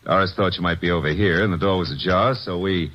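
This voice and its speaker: An actor playing a brisk young detective's assistant in a 1940s radio drama, talking in a quick, lively way. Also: male voice, low voice, lightly acted. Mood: businesslike. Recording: muffled old radio broadcast.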